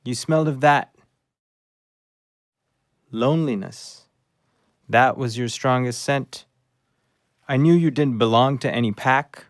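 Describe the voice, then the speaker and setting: A man's voice: mid-range voice, slow pace, pauses often, no echo, clean studio sound. An actor playing a small, earnest, devoted rescue dog who tells his story wistfully in an audio play.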